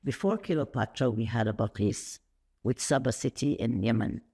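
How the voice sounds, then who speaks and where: Gravelly, husky woman, animated storyteller. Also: moderate pace. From Saudi Arabia. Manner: animated and rambling, with sudden high pitch leaps. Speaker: podcast guest. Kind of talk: conversational interview.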